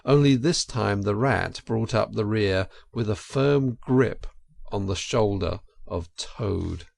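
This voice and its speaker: A man narrating an audiobook story in a measured, expressive and dramatic storytelling style. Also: on the low side, creaky, no room sound.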